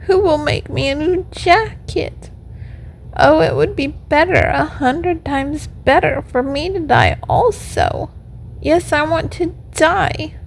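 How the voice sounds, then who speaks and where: A woman's voice: very high-pitched, expressive, measured pace, a little hiss or hum, voice pushed up, exaggerated voice, low-quality recording. A woman voicing an emotional wooden puppet boy from a storybook, plaintive and full of exclamations and questions. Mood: grieving, pleading. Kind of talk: storybook character voice.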